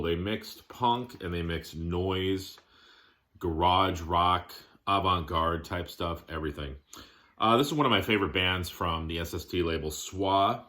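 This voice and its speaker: Very deep, bright-voiced man presenting casually. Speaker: music collector showing his collection. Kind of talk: casual show-and-tell vlog.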